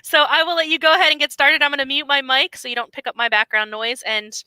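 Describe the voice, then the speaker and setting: A woman's voice: high-pitched, fairly even intonation, fast talker, bright, forceful tone, no room sound, rough recording. A chatty, bubbly woman hosting a casual livestream, speaking on headphones. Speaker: host, bookseller. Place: cafe. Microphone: headset.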